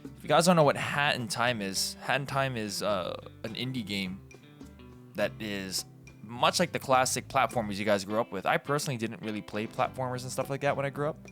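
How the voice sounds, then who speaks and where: A man's voice: medium pitch, a little fast, fairly bright tone. A Canadian man hosting a casual, rambling commentary, informal and digressive, often correcting himself as he goes. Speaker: video host. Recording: background guitar music. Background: guitar music.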